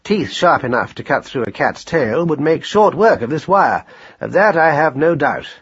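Guy doing a fussy proper dad mouse in a storybook, wordy n precise, lilting, bit husky. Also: a little high, sing-song, normal speed, a bit bright, highs cut off, full character voice. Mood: considering. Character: pedantic, controlled father mouse, anxious, henpecked.

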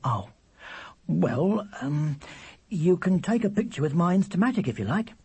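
Man as a kindly, husky schoolboy big brother. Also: high-pitched, big pitch swings, measured pace, darkish tone, no room sound, voice pushed up, heavily acted. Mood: generous. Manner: kindly, hesitant, understated. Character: kindly older brother, a schoolboy, slightly lofty.

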